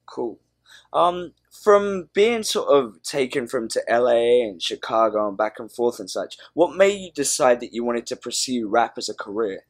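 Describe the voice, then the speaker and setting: A man asking brief, measured interview questions. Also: medium pitch, lively intonation.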